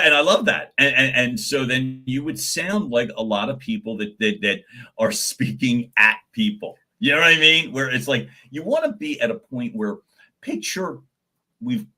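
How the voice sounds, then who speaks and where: A man with a slightly husky, breathy voice gives advice in a live question and answer session. He talks with animated energy and repeats words as he gets each thought going. He has a naturally loud voice. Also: medium pitch. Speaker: guest voice coach.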